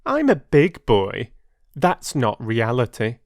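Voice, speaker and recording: A man's voice: mid-range voice, huge pitch swings, slow, a little mellow, dry recording, clean studio sound, heavily acted. A man voicing a scornful, smug older boy in a storybook, talking in a mocking, matter-of-fact way.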